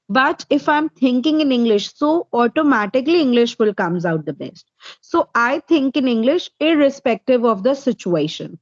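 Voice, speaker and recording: A woman's voice: fairly high pitch, dry recording, dull audio. A woman from India, a native Hindi speaker with an Indian accent, answering interview questions as an English tutor and laying out her points one by one. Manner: explains step by step, numbering points.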